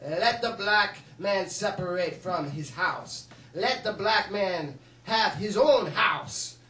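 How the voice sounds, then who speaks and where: A man's voice: high-pitched, animated, slow, sharp tone, full character voice. A man performing a fiery male political preacher-orator in a comedy monologue, with emphatic, repetitive rhetoric. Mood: urgent, warning. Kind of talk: mock political oratory from a film. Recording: roomy, distant mic, faint noise.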